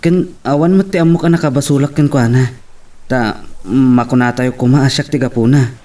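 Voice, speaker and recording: An actor voices an earnest young man troubled over his sweetheart. His mid-pitched voice is sincere and subdued, acted in the style of a radio drama. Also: normal speed, dark tone, close to an everyday voice. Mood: concerned.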